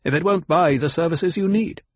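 A man's voice: fairly high pitch, normal speed, no room sound, voice pushed up, close to an everyday voice. A man voicing a proud, guarded planetologist in an audiobook, speaking in a stiff, curt, formal and emphatic way. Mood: curt, defiant. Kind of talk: audiobook character acting.

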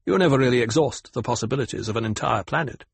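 Man acting a stiff, high-pitched, gravelly planetologist. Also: animated, moderate pace, dry recording, heavily acted. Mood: stiff, formal. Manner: stiff, curt, formal, emphatic. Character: proud, stiff, guarded male planetologist of a desert world. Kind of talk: audiobook character acting.

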